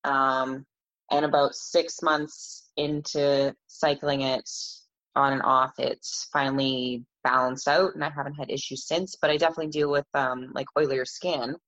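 A woman with a raspy voice interviewing a guest in a relaxed, questioning way. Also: very deep voice, measured pace, highs cut off. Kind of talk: casual interview.

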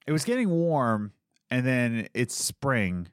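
A man's voice: medium pitch, sing-song, takes it slowly, clean tone, no room sound, studio quality. A man co-hosting a casual podcast, congested from a cold, joking and sarcastic. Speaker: podcast co-host. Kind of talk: casual chat. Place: North Dakota.

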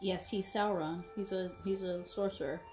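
A woman's voice: mid-range voice, moderate pace. A woman chatting casually and teasing her partner while they watch a film, cursing freely and arguing points with energy. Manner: animated, teasing, swears freely. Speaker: movie reaction co-watcher. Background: film soundtrack music.

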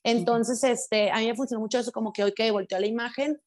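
A woman from Sinaloa, Mexico, with a Sinaloan accent, talking frankly and with lots of slang in a casual conversation. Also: fairly high pitch. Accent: Sinaloan Mexican Spanish. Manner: fast, animated, slangy and frank.

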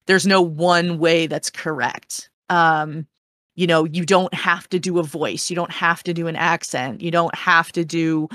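A woman's voice: lowish voice, steady tone, unhurried, a bit bright, no room sound. A woman in a casual discussion, explaining in an earnest, encouraging and meandering way as a panel guest.

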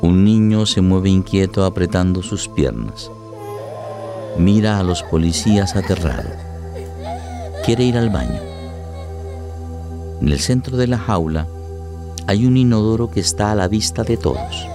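A man's voice: deep voice, lively intonation, a little slow, long pauses. A man narrating a radio play in a dramatic, scripted style. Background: music.

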